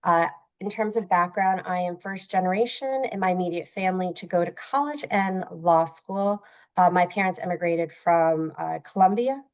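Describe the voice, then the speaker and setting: Woman attorney, native Spanish speaker; lowish voice, measured delivery. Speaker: panelist, attorney. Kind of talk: panel self-introduction.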